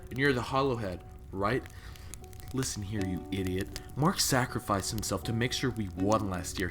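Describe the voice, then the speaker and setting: Hot-tempered veteran survivor male actor, audio fiction: low voice, bossy, insulting, faint music bed. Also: lightly acted. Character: Jack, gruff, hot-tempered young veteran survivor, scornful of rookies. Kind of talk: audio drama acting.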